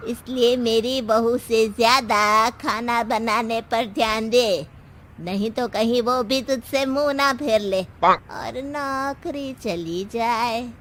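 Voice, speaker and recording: A woman's voice: on the high side, slow, a bit bright, slight background noise. A woman playing a bossy, fearless elderly mother-in-law in a comic cartoon story, scolding and sarcastic. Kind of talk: comic Hindi animated story acting.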